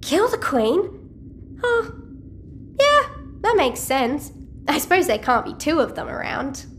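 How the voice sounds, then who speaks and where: A woman's voice: high voice, sing-song, slow, faint music behind, exaggerated voice. An actress playing a chatty, cheeky, nosy teenage girl in an audio sci-fi drama. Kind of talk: audio-fiction drama dialogue.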